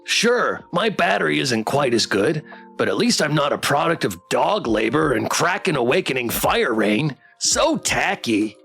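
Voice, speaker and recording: A streamer putting on a cheeky little plush toy, animated. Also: mid-range voice, measured pace, gravelly, faint music behind, exaggerated voice. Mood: sassy. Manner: sassy, emphatic. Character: small manic, cheeky, rebellious living plush toy. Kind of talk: reading game character dialogue aloud.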